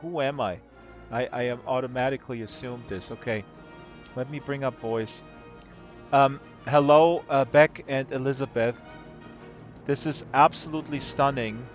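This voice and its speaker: A man with a hoarse voice giving casual, excitable live-stream commentary, rambling and gushing with amazement. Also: medium pitch, moderate pace. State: hoarse from talking all day. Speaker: live streamer, virtual world tour guide. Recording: dull lo-fi stream audio with music underneath. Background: music playing.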